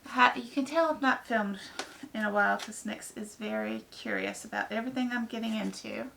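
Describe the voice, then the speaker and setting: A woman with a hoarse, raspy voice from a head cold, chatting casually through an unboxing with lots of ums. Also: fairly high pitch, sing-song, fairly quick, fairly bright tone, a little hiss or hum, low-quality recording. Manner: chatty, rambling, many ums. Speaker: book vlogger.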